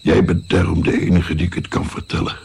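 An actor playing a weary, guilt-ridden grandfather of seventy-six in a radio drama, speaking in a brooding, pausing way with a gravelly voice. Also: sits low, little pitch movement, darkish tone. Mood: brooding, remorseful. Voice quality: gravelly, creaky. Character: weary, guilt-ridden 76-year-old Italian-born grandfather. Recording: faint noise, night crickets. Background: chirping crickets.

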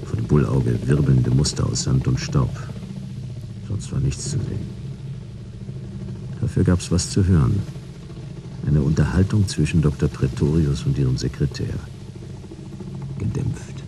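A man playing a dry, world-weary detective who narrates his case in laconic, deadpan radio drama style. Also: rumbling low, a little slow, pauses often, noisy background. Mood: dry, sardonic. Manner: laconic, deadpan, clipped sentences. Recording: loud vehicle ambience behind.

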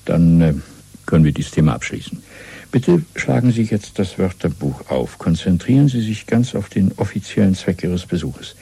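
A senior party official from a radio drama, coolly authoritative and secretive. His voice is dark and very husky, recorded close and dry. He instructs in measured, deliberate sentences. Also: low voice, big pitch swings, dry recording, heavily acted. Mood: cool, instructing. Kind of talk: 1970s German radio play acting.

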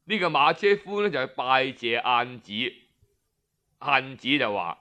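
Bright-voiced, unhurried Cantonese male storyteller. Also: mid-range voice. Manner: colloquial, expressive, with drawn-out phrases and particles. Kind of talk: traditional Cantonese folk-tale storytelling, audiobook narration.

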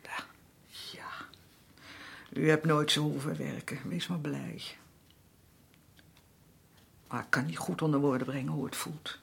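An actress playing a weary, aggrieved working-class wife in a radio drama, middle-aged, with a colloquial, complaining way of talking. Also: female voice, low-pitched, fairly even intonation, unhurried, creaky, long pauses, husky, exaggerated voice. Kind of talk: naturalistic radio drama acting.

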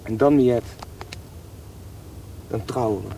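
A man's voice: mid-range voice, slow, vocal fry, mellow, recorded from a distance, a little hiss or hum. An actor playing an idealistic seventeen-year-old rebel boy in love, speaking earnestly and fervently in radio drama style. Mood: tender, hopeful.

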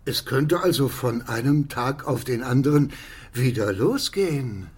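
A man's voice: mid-range voice, expressive, measured pace, breathy edge. An actor playing an anxious, needy man in a radio drama, nervous and insistent, repeating himself. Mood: uneasy. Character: anxious, needy man who dreads the plague ending.

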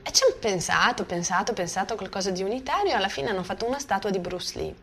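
A woman's voice: medium pitch, quick pace. A woman from Bosnia, a native Bosnian speaker, giving informal conversational commentary and often checking for agreement with tag questions. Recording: faint background noise.

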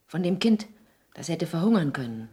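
A woman's voice: low voice, sing-song, normal speed, mellow. An actress playing a bitter, protective middle-aged mother in a radio play, with grave, emotional stage diction. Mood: reproachful. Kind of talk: 1960s German radio play acting.

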